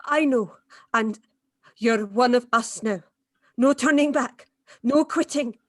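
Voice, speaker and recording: A woman acting a tough, wry accomplice, higher-pitched. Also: slow pace, dry recording, studio quality. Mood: firm, urgent. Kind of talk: dramatic script read-through.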